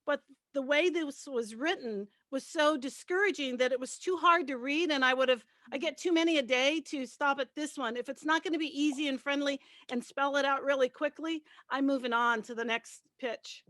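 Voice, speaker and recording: A woman's voice: high voice, moderate pace, hardly any pauses, a bit bright, no echo. A woman speaking frankly and casually as a panelist, explaining what works for her. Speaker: panelist, radio host and producer.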